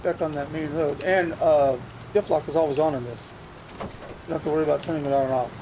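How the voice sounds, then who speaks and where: A man talks loosely through his gameplay, circling back and restarting his sentences as he goes. His voice sits a little high for a man. He is recorded at home on a USB desk mic, and the game audio is clearly audible under him. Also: fairly even intonation, fast talker. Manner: rambling, thinks aloud with restarts. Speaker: gaming channel host. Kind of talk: casual gameplay commentary. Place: home computer setup. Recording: noisy game sound behind voice. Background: truck game sounds.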